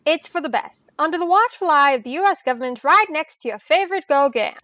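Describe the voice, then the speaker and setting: A woman playing a prim, excitable secretary sidekick in an old-time radio mystery drama. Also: sits high, quick pace, dry recording, clean studio sound. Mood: arch, rattled.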